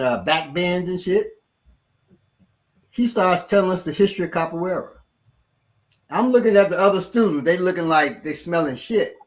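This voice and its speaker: A middle-aged man, a martial arts instructor, telling a story in casual talk and acting out what others said. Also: a little high. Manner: animated, acts out quotes of others.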